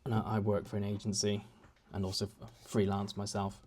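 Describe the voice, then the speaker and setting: A man's voice: lowish voice, monotone, brisk, a little mellow, a little hiss or hum. A young man chatting at home in a casual vlog, rambling and self-deprecating with many restarts. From United Kingdom. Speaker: vlogger. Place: home, indoors.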